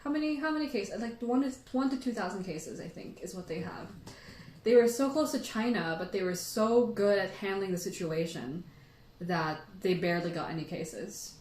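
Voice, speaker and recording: A woman hosts a casual livestream chat in an echoey room. She has a mid-range voice and rambles along in an animated, informal storytelling way. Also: medium pitch, moderate pace, slight background noise. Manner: animated, rambling, giggly, frequent "like". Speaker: livestream host.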